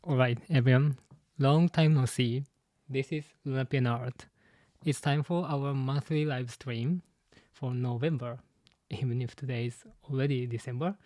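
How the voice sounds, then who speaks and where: A man with a Japanese accent, a native Japanese speaker, hosting a live-stream commentary and talking haltingly with many fillers and restarts. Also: mid-range voice, fairly even intonation, mellow, no room sound. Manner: halting, full of "you know" fillers and restarts. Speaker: channel host and translator.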